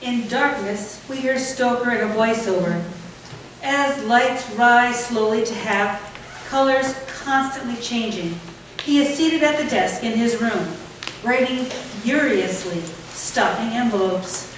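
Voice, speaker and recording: A woman with a fairly high voice narrates stage directions from a script. She is some way from the microphone in a very echoey hall, with faint noise. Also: slow, distant mic. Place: indoor theatre space, staged reading.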